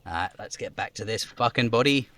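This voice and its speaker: A man's voice: fairly low pitch, brisk, bright, forceful tone. A man reading a game aloud as a live streamer, narrating the text and dropping in casual, sweary asides. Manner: sweary, self-commenting.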